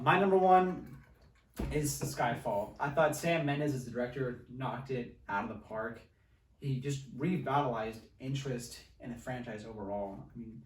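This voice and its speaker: A man hosting a podcast, chatting casually about films. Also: mid-range voice. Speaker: podcast host. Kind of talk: casual film discussion. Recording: roomy, distant mic, faint noise.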